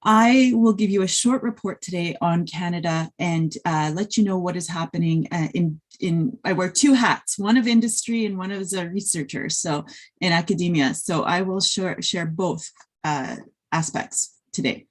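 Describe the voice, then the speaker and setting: Husky middle-aged woman presenting online. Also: on the low side, normal speed. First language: Polish. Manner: friendly, filler-heavy, with frequent restarts. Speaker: conference speaker, industry researcher. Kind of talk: presenting slides at an online conference. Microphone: video call.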